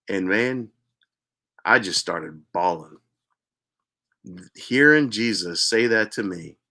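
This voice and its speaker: A man earnestly telling a personal spiritual story as host, repeating himself for emphasis and sometimes dropping to a hushed voice. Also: on the low side. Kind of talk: personal testimony monologue.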